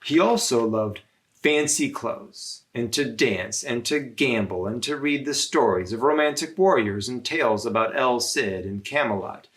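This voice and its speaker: A man reading a story aloud to children as a religious brother, in measured phrases with frequent pauses. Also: mid-range voice, lively intonation, fairly bright tone.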